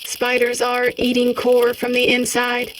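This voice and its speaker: A woman voicing a calm automated game system, announcing politely in a deadpan, measured, impassive way. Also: on the high side, recorded from a distance, slight background noise, natural voice, rough recording.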